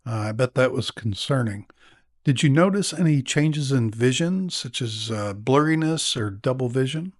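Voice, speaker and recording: A man playing a calm, attentive neurologist in an acted exam-practice consultation, explaining in a measured way with small hesitations. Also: medium pitch, dry recording, studio quality, close to an everyday voice. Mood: attentive, sympathetic. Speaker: narrator and actor in a listening exam practice.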